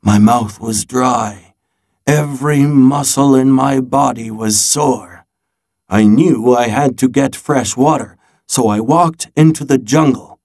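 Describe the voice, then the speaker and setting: A man voicing a storybook grandfather who recounts his seafaring adventure in a dramatic, measured way with suspenseful pauses. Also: mid-range voice, a little mellow.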